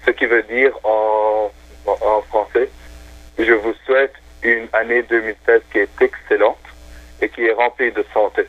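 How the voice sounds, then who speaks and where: A man's voice: lowish voice, level tone, a little slow, no room sound. A man whose first language is Arabic, calling in to a radio show and chatting casually in French with many hesitations. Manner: enthusiastic, hesitant with many fillers. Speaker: phone-in guest. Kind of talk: casual radio phone chat. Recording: tinny phone line, dry.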